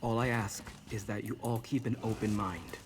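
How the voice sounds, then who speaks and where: A man voicing an earnest, protective young man with a husky voice in a video game. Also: fairly low pitch, steady tone, moderate pace, slight background noise, natural voice. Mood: calm, reassuring. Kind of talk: video game character dialogue.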